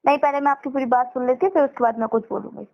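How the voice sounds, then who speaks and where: A woman's voice: sits high, very fast, creaky. A young woman on a muffled phone call, arguing back with challenging questions. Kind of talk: argumentative private phone conversation.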